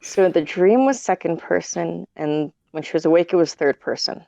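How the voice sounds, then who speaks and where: A woman's voice: lowish voice, lively intonation, normal speed, warm tone, dry recording, highs cut off. A young woman from Canada in casual podcast chat, hesitant and correcting herself often. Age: young adult. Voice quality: gravelly, vocal fry. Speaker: podcast guest writer.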